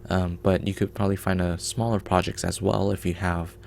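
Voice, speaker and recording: A man's voice: low voice, level tone, brisk, vocal fry, darkish tone, a little hiss or hum. A man hosting a programming livestream, explaining in a rambling casual way with many ums and restarts.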